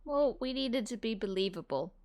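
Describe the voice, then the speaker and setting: A woman's voice: on the high side, lively intonation, normal speed, a little mellow. Chatty woman reacting to a game. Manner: laughs often, chatty. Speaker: video host reacting to a game. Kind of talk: casual game commentary.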